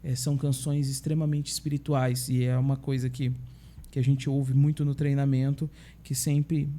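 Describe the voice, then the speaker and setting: A man, a pastor with a Brazilian accent, telling stories in a relaxed conversation and often checking in with tag questions. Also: medium pitch, normal speed. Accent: Brazilian Portuguese. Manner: chatty storyteller, frequent tag questions. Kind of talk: casual podcast conversation.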